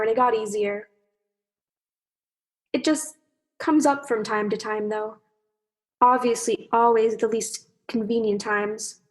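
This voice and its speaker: A woman's voice: a little high, close to an everyday voice. A young woman playing a shy, anxious, lovelorn fish in a radio play, talking in a rambling, self-conscious way. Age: young adult.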